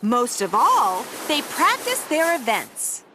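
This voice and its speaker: A woman reading a children's picture book aloud in an animated, theatrical manner with dramatic emphasis. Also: high voice, slow, background noise. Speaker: storybook reader.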